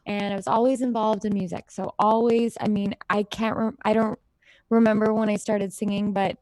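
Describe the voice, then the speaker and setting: A woman's voice: medium pitch, flat delivery, moderate pace, darkish tone, no room sound, clean studio sound. An American woman chatting casually and informally as an interview guest, telling personal stories in a friendly way. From United States, raised in Idaho and Utah. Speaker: interview guest, singer-songwriter. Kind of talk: casual chat, personal storytelling.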